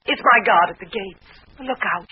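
An actress playing an anxious, breathless lover in a melodramatic old radio drama. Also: female voice, on the high side, animated, moderate pace, husky. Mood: alarmed. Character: anxious, melodramatic woman lover in a desert intrigue. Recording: dull, noisy old radio recording.

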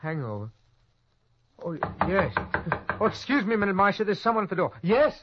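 A man's voice: high voice, sing-song, a little fast, slight background noise. An actor playing a panicked young man who narrates his own desperate cover-up in old-time radio suspense style, tense and stammering when pressed. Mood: flustered. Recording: dull old radio recording, loud music.

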